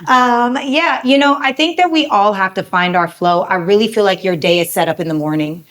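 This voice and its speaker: A woman from Canada with a raspy, hoarse voice, speaking as a lively, bubbly panelist in a live panel talk. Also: medium pitch, a little fast.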